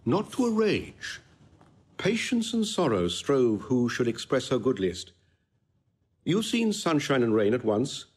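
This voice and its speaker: An actor playing a tender, eloquent courtly gentleman in a classical audio drama, speaking with earnest lyricism. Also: mid-range voice, big pitch swings, measured pace, breathy edge. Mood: tender admiration. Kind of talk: classical stage-style audio drama acting.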